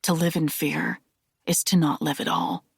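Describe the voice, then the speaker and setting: A woman's voice: sits low, steady tone, moderate pace, vocal fry, breathy edge, dry recording, studio quality, full character voice. A woman reading an earnest young Jedi. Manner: earnest, pleading.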